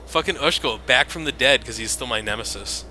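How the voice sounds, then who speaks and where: A man's voice: mid-range voice, fairly quick, sharp tone, a little hiss or hum. A man giving casual video game commentary in an informal, sweary way. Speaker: gameplay commentator. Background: game music and sound.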